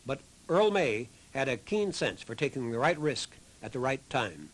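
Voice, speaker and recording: A man's voice: mid-range voice, sing-song, normal speed, poor audio. A man reading scripted documentary narration as the narrator of a history program.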